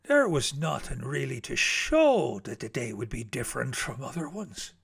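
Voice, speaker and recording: A man's voice: mid-range voice, big pitch swings, moderate pace, vocal fry, husky, voice pushed down, full character voice. A man reading a storybook as an eccentric explorer uncle recounting Arctic perils in a theatrical manner that savors each word. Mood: grandly dramatic. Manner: theatrical, savoring words, swinging from hushed to urgent.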